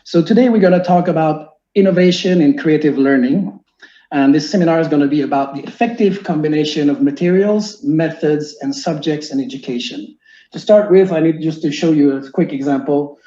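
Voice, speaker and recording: A man's voice: fairly high pitch, fairly quick, mellow. A man in his forties from Quebec with a French-Canadian accent, French being his first language, presenting an online seminar earnestly with many fillers. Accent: Québécois French. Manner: earnest, explanatory, with frequent fillers and restarts. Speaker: teacher giving a seminar. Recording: echoey room, muffled lo-fi sound.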